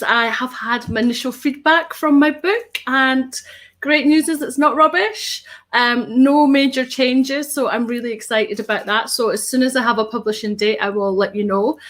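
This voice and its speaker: A woman's voice: a little high, a bit bright. A woman in her thirties hosting a live online show from home over a webcam, bubbly and upbeat with many ums. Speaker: host. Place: home studio with tinsel backdrop.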